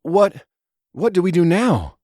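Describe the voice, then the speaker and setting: Man doing an anxious, high-pitched woman elder. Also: big pitch swings, a little slow, darkish tone, dry recording, studio quality, exaggerated voice. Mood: shocked. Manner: gasping, anxious. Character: startled female religious elder, anxious and gasping.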